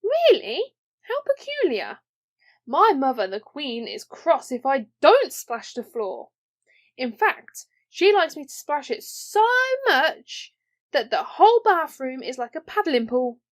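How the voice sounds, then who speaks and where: Woman voicing a haughty, high-pitched little princess. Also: sing-song, takes it slowly, heavily acted. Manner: haughty, grandly matter-of-fact. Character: bossy, boastful young princess from a mirror.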